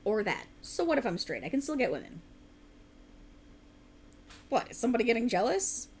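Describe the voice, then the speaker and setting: Casting: woman as a tired writer girlfriend for ASMR roleplay, lively swooping pitch, earnest, playfully defensive, faint noise behind. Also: normal speed. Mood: playful, teasing. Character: tired, rambling, passionate young woman writer, teasing girlfriend. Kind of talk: ASMR girlfriend roleplay, intimate conversational acting.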